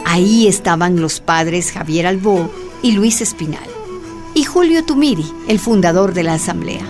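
A woman's voice: lowish voice, lively intonation, moderate pace, lightly acted. A woman narrating a scripted radio drama as a plain storyteller. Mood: narrative. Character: female storyteller recounting events plainly. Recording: music bed behind narration. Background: music.

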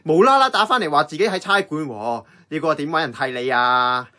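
A man's voice: on the high side, a little fast, sharp tone. A man acting a brash, cynical diner worker with a Hong Kong Cantonese accent and a blunt, complaining manner. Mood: grumbling. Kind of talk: radio drama acting.

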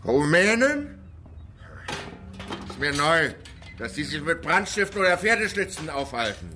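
A skeptical village man in a radio crime play, with a bright, forceful voice that swoops up and down. He is sardonic and deliberate over faint ambient noise. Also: on the high side, sing-song, exaggerated voice. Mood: sardonic, wary. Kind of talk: radio crime drama dialogue.

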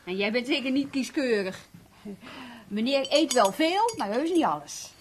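An excitable, credulous housewife in a radio drama. Her voice is high and swings freely as she chatters and stammers with eagerness. There is noticeable noise on the recording. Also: moderate pace. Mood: chatty, teasing. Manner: animated, stammering when excited. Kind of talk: Dutch radio play acting.

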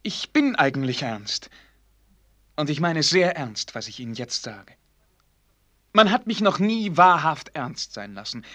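Radio drama: sheltered young prince, lightly high voice, very animated, courtly, earnest, halting. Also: male voice, full character voice. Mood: earnest, pleading. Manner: courtly, eager, hesitant and halting. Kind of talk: German radio drama acting.

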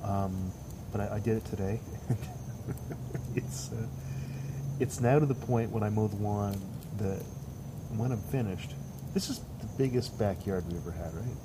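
Low-voiced stuffed up guy rambling outside, crickets and noise behind. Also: moderate pace, pauses often. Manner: rambling, joking, swears casually. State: stuffed up, congested. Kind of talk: casual chat.

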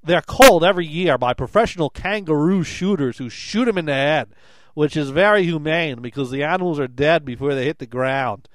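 Brief: man doing a boisterous Aussie restaurateur, higher and louder than his own voice, fake accent slipping. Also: fairly quick, no echo, natural voice, low-quality recording. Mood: boastful. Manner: overdone loud fake Australian accent. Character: Australian restaurant co-owner, enthusiastic man.

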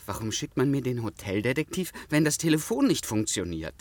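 A man's voice: mid-range voice, big pitch swings, a little fast, heavy background noise, natural voice. An actor playing a bemused male government inspector in a satirical radio drama, dry and formally polite but easily exasperated. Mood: irritated.

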